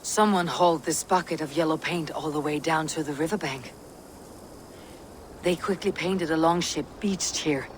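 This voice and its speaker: A woman playing a steady, observant Viking warrior in a video game, terse, thoughtful and matter-of-fact. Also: low-pitched, normal speed, a little hiss or hum, natural voice. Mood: focused musing. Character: steady, observant female Viking warrior leader investigating clues. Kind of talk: video game character acting.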